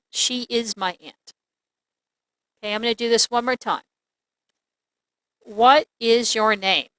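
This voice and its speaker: A woman teaching an English lesson, reading examples aloud in a deliberate, clearly enunciated, instructional way. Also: fairly high pitch, sharp tone, dry recording, poor audio.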